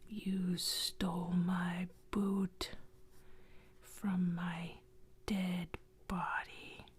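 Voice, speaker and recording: A woman voicing a menacing dead warrior ghost in a slow, low whisper. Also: little pitch movement, slightly rough, exaggerated voice.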